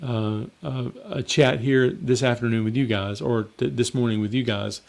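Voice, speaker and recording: A man hosting a casual live Q&A as a mixing coach, slightly hoarse and rambling in a conversational way. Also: mid-range voice, steady tone, normal speed, darkish tone. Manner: rambling and conversational, with frequent fillers and restarts. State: slightly hoarse, losing his voice. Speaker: mixing coach and show host.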